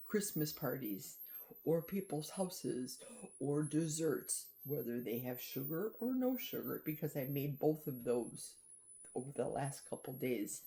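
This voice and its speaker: A middle-aged woman talks slowly to her viewers in a chatty, confiding vlog. Her voice sits low and mellow, with an unhurried pace. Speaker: vlogger. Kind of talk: personal diet vlog. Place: outdoors in a forest.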